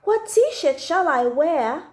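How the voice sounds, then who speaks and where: A woman voicing an excitable, indignant little girl from a storybook, with emphatic, exaggerated phrasing. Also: high voice, slow, voice pushed up. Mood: eager questioning. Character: excitable, indignant little girl telling her own troubles. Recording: echoey room.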